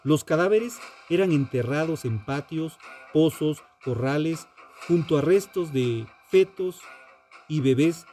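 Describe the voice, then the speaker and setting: A man hosts a true-crime story over a music bed. His voice is soft-edged and mellow, and he reads very slowly. The delivery is solemn and theatrical, with deliberate pauses between short phrases. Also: fairly high pitch, lively intonation. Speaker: true-crime show host and narrator. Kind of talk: dramatic scripted true-crime narration.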